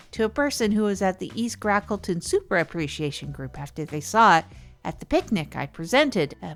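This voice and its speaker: A woman acting as an awkward comedy radio host with a middling-pitched voice. She rambles conversationally, hesitating and doubling back as she talks. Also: fairly bright tone.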